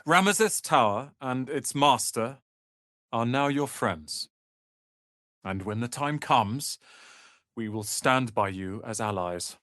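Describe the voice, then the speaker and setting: An actor playing a proud, irritable young male wizard apprentice in a fantasy video game, haughty, theatrical and sharp-tongued. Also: mid-range voice, lively intonation, slow pace, pauses often, no echo, clean studio sound.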